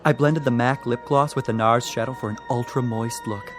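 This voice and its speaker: A man's voice: medium pitch, steady tone, moderate pace, soft background music, close to an everyday voice. An actor playing a fawning, fussy, gushing male makeup artist in a radio drama.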